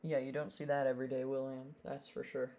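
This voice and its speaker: A man's voice: mid-range voice, monotone, fairly quick, warm tone. A young man hosting a live weather stream, talking casually with fillers and giving urgent storm warnings from his home setup. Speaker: livestream weather host. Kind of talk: live storm-coverage commentary.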